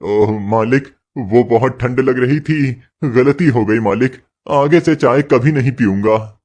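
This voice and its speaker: A man voicing a poor, meek labourer husband in an animated moral story, gentle and apologetic. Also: mid-range voice, takes it slowly. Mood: pleading, apologetic. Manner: gentle, pleading and apologetic toward his boss.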